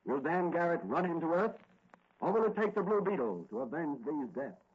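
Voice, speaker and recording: A man's voice: a little high, expressive, moderate pace, husky. A man announcing and narrating a radio serial in an emphatic, theatrical 1940s style. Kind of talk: 1940s dramatic scripted announcing. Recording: noisy lo-fi vintage radio recording.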